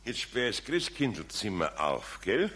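A man's voice: fairly low pitch, big pitch swings, measured pace, a bit bright. A man playing a kindly, patient older craftsman with a Bavarian accent and a homely, hesitant manner in a 1970s children's radio play. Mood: hesitant, worried.

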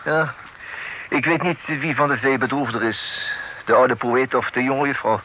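A man's voice: mid-range voice, fairly even intonation, moderate pace, sharp tone, lightly acted, rough recording. An actor with a French accent playing a worldly, wry male official in a radio play, dry and knowing, chuckling and dropping French words into his speech. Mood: confiding. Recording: old radio recording with faint hiss.

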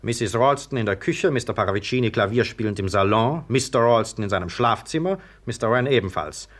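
Stern, insistent police sergeant. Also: male voice, medium pitch, lively intonation, fairly quick, fairly bright tone. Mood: stern, official. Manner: commanding, clipped, insistent. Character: stern, suspicious police sergeant interrogating suspects. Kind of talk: 1950s radio drama acting.

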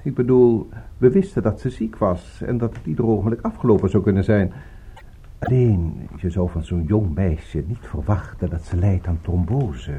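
A man's voice: low-pitched, warm tone, highs cut off, slight background noise. An actor playing a genteel, courteous but evasive male host in a radio drama, speaking politely and urbanely. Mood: regretful, evasive. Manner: polite, urbane, unhurried small talk.

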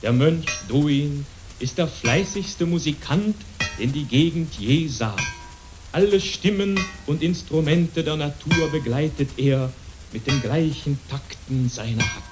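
A man narrating a radio play as a solemn, measured storyteller. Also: fairly high pitch, over music, lightly acted. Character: solemn male storyteller narrating a monk's legend.

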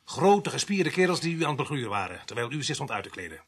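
Village police sergeant, male, radio play: gruff, sardonic, very animated intonation. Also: medium pitch, quick pace, exaggerated voice. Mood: sarcastic. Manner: brusque, sardonic. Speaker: actor in a radio drama. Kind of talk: Dutch radio play acting.

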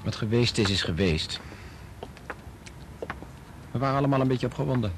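A man playing a reserved, conciliatory scientist in a radio drama, mild and measured in manner. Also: medium pitch, noisy background, natural voice.

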